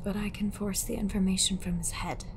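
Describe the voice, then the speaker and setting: A woman playing an eerie, coolly commanding fortune teller in an audio roleplay, speaking in a measured, deliberate way. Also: medium pitch, steady tone, creaky, background noise, lightly acted. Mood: cold, intent.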